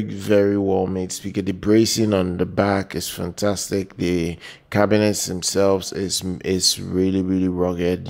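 Very deep, monotone, gravelly male presenter. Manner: halting and repetitive, with drawn-out hesitations. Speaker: product news video host. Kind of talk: semi-improvised product news voice-over.

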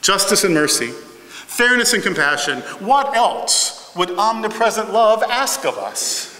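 A man's voice: high voice, big pitch swings, unhurried, pushed and bright. A middle-aged man preaching a sermon in a large church sanctuary, emphatic and rhythmic, building phrases through repetition. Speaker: pastor. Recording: echoey hall reverb.

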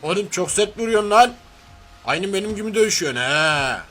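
A man's voice: sits high, expressive, fairly quick, bright, forceful tone. A man voicing a brash, grumbling, slangy macho man turned reluctant superhero in a cartoon parody. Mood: exasperated. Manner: blustering, slangy, threatening then sentimental. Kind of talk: cartoon parody character dub.

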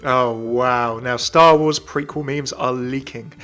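A man's voice: medium pitch, fairly even intonation, takes it slowly, fairly bright tone, soft background music. A young man hosting and narrating a scripted fan video in a chatty, jokey way.

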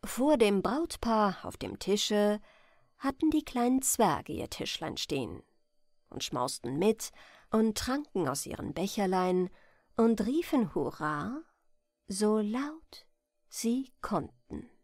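A woman narrates a bedtime fairy tale gently and slowly. Her intonation rises and falls expressively, and the recording is dry. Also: mid-range voice, expressive, no echo. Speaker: storyteller.

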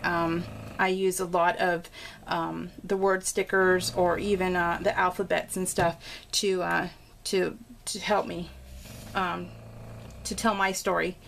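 Woman doing a chatty crafting vlog, medium pitch, rambles along, bit of noise behind her. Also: a bit bright, low-quality recording. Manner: rambling, informal storytelling with exclamations. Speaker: craft vlogger showing a scrapbook layout. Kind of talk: casual, chatty real-time craft voice-over. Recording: clear background noise.

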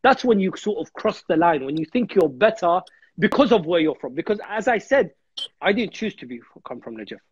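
A man's voice: sits high, big pitch swings, fairly quick, husky, dry recording, muffled recording. A man in his thirties from Iraq, a guest speaker in a religious discussion on a video call, earnest and repeating words as he thinks. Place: home room on a video call.

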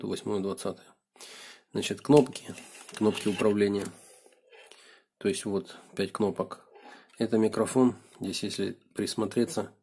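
A man with a hoarse voice from a cold, casually thinking aloud with fillers as he takes apart a gadget at his desk. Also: lowish voice, darkish tone. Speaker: video blogger. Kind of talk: casual hands-on gadget teardown. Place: indoors at a wooden desk.

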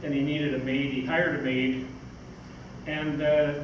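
An older man giving an informal, halting talk from notes on a stage. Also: on the high side, flat delivery, dull audio. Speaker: speaker, magazine editor. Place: theatre stage, indoors. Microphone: stage mic on stand, picked up by distant camera. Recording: roomy, noisy stage recording from far off.

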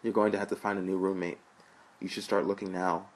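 A man's voice: low voice, level tone, fast talker. A man voicing a defiant young slacker older brother who talks angrily and swears. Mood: dismissive. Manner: angry, swearing, defensive.

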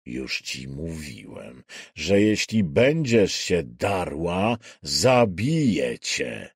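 A man voicing a calm killer in an audiobook thriller, stern, coaxing and coldly patronizing. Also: deep voice, creaky, husky, dry recording, clean studio sound, pitched below the performer's own voice, heavily acted. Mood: cold threat. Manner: slow, stern, softly coaxing.

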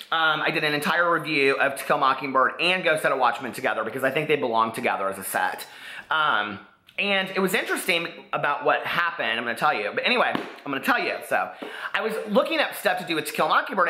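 American man, forties, very bright sharp voice, slightly high pitch, rambling casual vlog, echoey living room. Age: 40s. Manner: excitable, rambling, peppers talk with "like". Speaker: book vlogger. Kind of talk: casual chatty vlog to camera.

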